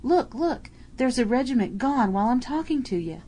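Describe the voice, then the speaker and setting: A woman reading an audiobook voices an excited male English soldier, bellowing in amazement from a trench. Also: a little high, moderate pace, slightly rough, voice pushed up. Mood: amazed shouting.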